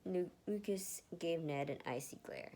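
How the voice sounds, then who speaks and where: A woman's voice: lowish voice, expressive, unhurried, mellow. A woman reading fan fiction aloud as dramatic narration, sometimes stumbling over lines and rereading them. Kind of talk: dramatic storytelling read aloud.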